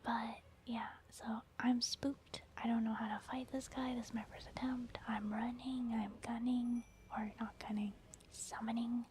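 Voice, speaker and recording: A woman doing soft, whispered ASMR commentary over gameplay, chatting informally to her viewers. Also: on the high side, level tone, normal speed, a little hiss or hum. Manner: informal, conversational, addressing viewers. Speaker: ASMR gaming creator.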